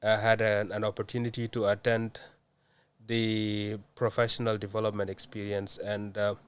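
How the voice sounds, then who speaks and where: A young man from South Sudan answering interview questions in a measured way, with many hesitant fillers. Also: fairly low pitch, level tone, clear, resonant tone. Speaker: guest.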